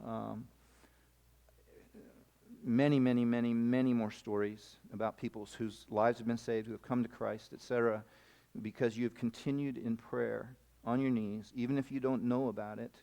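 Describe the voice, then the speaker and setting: Male missionary speaker, middle pitch, rambling animated sermon storytelling. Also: normal speed, vocal fry, dull audio. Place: camp meeting hall.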